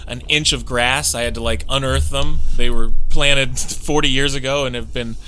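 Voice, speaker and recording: Young man, podcast co-host, laid-back and jokey, very bright tone, casual chat. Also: medium pitch, steady tone, normal speed, a little hiss or hum.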